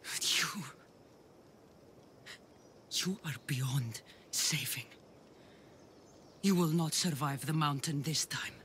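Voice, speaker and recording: An actress playing a resolute, weary mercenary sister in a video game, speaking in a measured, earnest, grave way. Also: female voice, deep voice, a bit bright, slight background noise. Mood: grim resolve. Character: resolute, weary mercenary sister, wanting her family back. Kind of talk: dramatic video game acting.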